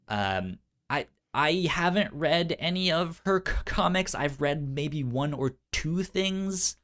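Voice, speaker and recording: A man co-hosting a casual pop-culture news chat, stuttering on sounds and syllables, with halting, informal phrasing. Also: a little high, expressive, a bit bright. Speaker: podcast co-host.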